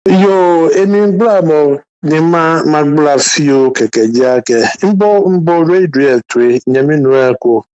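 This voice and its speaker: A man answering an interview question in a deliberate way. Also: a little high, darkish tone, no echo. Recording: dull, dry lo-fi sound.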